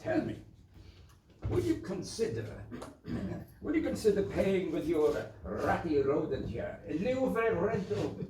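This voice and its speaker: A man's voice: high voice, big pitch swings, unhurried. A man playing a pompous, greedy, blustering squire and landlord in a stage pantomime. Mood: pompous, demanding. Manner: blustering, pompous, hesitant mumbled asides. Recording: echoey noisy stage, recorded from far off.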